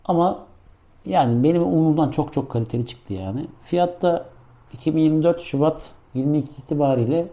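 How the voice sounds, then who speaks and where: A man's voice: fairly high pitch, a little fast, mellow. A man doing a casual hands-on product review, explaining things step by step in an informal, improvised way. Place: indoor room.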